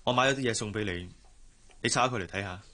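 Young gentleman employer, lowish pitch, Hong Kong Cantonese, brotherly, gentle, radio drama. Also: fast talker, a little hiss or hum. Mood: kind, encouraging. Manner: gentle, patronising.

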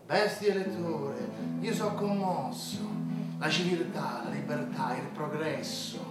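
A man's voice: on the high side, a little slow, over loud music, lightly acted. A man voicing a vain, bullying donkey president with a Roman accent in a theatrical verse fable. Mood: puffed-up oratory. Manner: bombastic, oratorical, rustic. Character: vain donkey in lion skin, bullying elected animal president. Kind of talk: theatrical dialect verse recitation. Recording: echoey hall, distant microphone.

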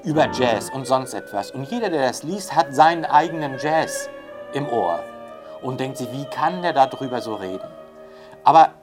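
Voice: medium pitch, lively intonation, normal speed, over music. An interviewee talking in a loose, rambling way, full of fillers and tag questions. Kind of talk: discursive spoken commentary.

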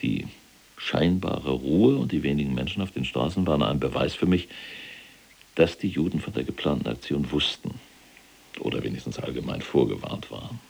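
An actor playing a proud, callous, imprisoned SS general recounting the war in a gravelly, husky, self-important military manner in a radio drama. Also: male voice, extremely low pitch, animated, moderate pace, a little mellow, heavily acted. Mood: cold, boastful. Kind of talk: dramatized first-person war recollection.

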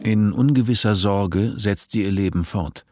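A man narrating a dystopian radio play in a measured, detached, literary manner. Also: lowish voice, over music, close to an everyday voice. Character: male narrator of a dystopian story.

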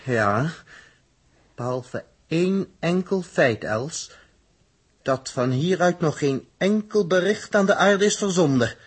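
An actor playing a serious, protective spaceship electronics expert with a husky voice in a 1960s radio drama. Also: male voice, a little high, lively intonation, slow, heavily acted. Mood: grave, puzzled.

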